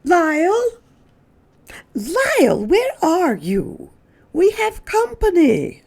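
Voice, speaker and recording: A woman's voice: extremely high pitch, wildly swooping pitch, extremely slow, creaky, a little mellow, voice pushed up, heavily acted. A woman voicing a kindly, fussy mother and hostess in a storybook, polite and exclamatory in a sing-song way. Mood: calling out.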